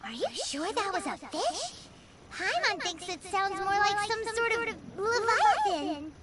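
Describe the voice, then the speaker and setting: Very high-pitched childish fairy sidekick. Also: female voice, wildly swooping pitch, a little slow, over a noisy crowd, full character voice. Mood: incredulous. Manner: refers to self in third person, theatrical. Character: tiny female fairy-like guide, childish, boastful, excitable. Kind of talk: anime video game voice acting. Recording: music playing behind the voice.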